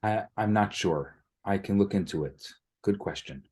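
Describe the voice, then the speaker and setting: A man teaching a class as rabbi on a video call, explaining in a calm, measured way. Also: lowish voice, slightly rough.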